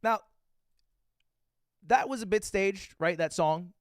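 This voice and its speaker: A man in his twenties, a streamer reacting to a video, telling a story with excitable, profane energy and acting out what others said. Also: sits high, slow, no echo, studio quality. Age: 20s. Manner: excitable, profane, acts out quotes.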